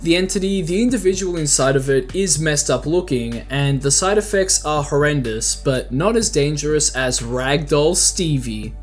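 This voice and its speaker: A man hosting a casual scripted commentary video, talking conversationally with jokey asides. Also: fairly high pitch. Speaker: video host. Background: background music.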